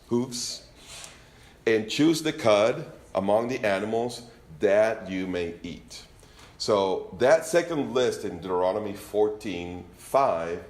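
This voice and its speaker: A man's voice: lowish voice, roomy sound. A man from Venezuela with a Spanish accent, teaching from scripture to a congregation in a conversational, joking way. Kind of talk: informal sermon teaching.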